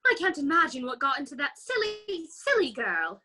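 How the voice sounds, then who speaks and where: A woman's voice: high-pitched, big pitch swings, normal speed, pushed and bright, exaggerated voice. A woman playing a prim, self-satisfied, dramatic talking flower in a children's readers' theater performance. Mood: concerned.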